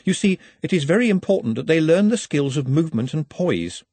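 A man's voice: a little high, big pitch swings, fairly quick, darkish tone, slightly rough, no echo, voice pushed up. A man voicing an earnest, learned male servant in an audiobook, nervous and deferential, with precise, formal speech.

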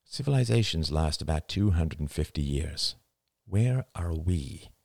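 A man's voice: extremely low pitch, lively intonation, a little slow, vocal fry, a little mellow, husky, no echo, clean studio sound, full character voice. A man voicing an anxious, earnest young father who pleads with feeling in an audiobook reading. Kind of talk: audiobook dialogue.

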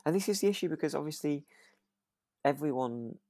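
A man's voice: a little high, lively intonation, a little fast, a little mellow, dry recording, clean studio sound. A man co-hosting a podcast, thinking aloud in a casual, rambling and hesitant review of a radio drama. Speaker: podcast co-host.

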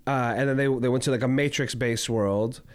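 A man's voice: mid-range voice, steady tone, brisk, clear, resonant tone. A man in his twenties co-hosting a casual podcast chat, rambling through stories with lots of "like" fillers. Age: 20s. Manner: rambling, heavy use of "like" fillers. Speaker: podcast co-host.

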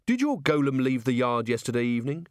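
Man voicing an earnest, upright young watch captain, animated. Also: medium pitch, dry recording, clean studio sound, pitched above the performer's own voice, natural voice. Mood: polite, brisk. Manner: formal, measured, courteous.

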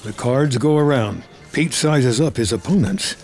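A man narrating scripted drama action in measured, terse storytelling. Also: mid-range voice, darkish tone, soft background music.

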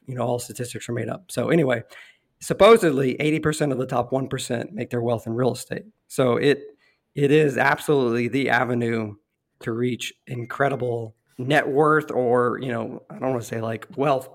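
Hoarse male podcast host. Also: medium pitch, fairly quick. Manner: rambling, friendly, throat-clearing. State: losing his voice. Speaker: podcast host, real estate investor. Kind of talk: casual interview conversation.

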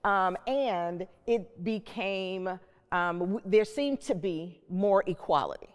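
A middle-aged woman with a medium-pitched voice leads a reflective discussion. She is deliberate and emphatic, repeating phrases for weight. Her voice carries long hall reverb. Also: clean tone. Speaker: host and panel moderator.

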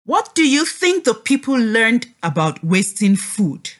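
A woman reads and teaches for young children. Her voice is fairly high and very animated, and she speaks slowly and deliberately, with an encouraging, expressive delivery. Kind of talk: children's read-aloud lesson.

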